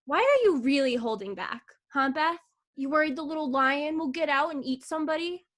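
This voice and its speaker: A woman's voice: sits high, expressive, brisk, fairly bright tone. A woman playing a brash, mocking circus juggler in a staged play reading, with slangy teasing talk. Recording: video call.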